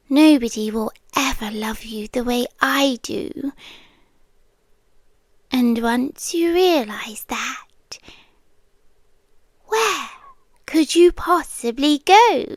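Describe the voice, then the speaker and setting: A British woman playing a possessive, adoring vampire girlfriend in an ASMR roleplay, speaking softly and breathily and often slipping into a whisper. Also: high voice, lively intonation, very slow, pauses often, no echo, clean studio sound, full character voice. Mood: obsessive, adoring. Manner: soft, breathy, often dropping into whispers, coaxing. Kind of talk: ASMR roleplay, slow intimate delivery with whispering.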